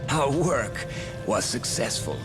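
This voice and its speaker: An actor playing a scheming sorcerer villain, haughty and taunting, in a dubbed game cutscene. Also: male voice, mid-range voice, sing-song, takes it slowly, music in the background. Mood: smug. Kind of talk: English dub game cutscene acting.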